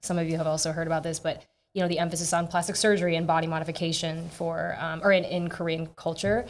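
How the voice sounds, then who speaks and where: Brief: young woman co-host, lower voice, reflective and hedging. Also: level tone, fast. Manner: thoughtful, hedging with fillers. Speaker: podcast co-host. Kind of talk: casual chat.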